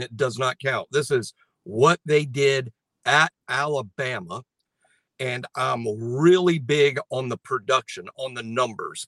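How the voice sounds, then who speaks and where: A man discussing his football rankings on a sports talk show in a measured, analytical way, often restarting his sentences. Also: mid-range voice, fairly bright tone, no room sound, rough recording.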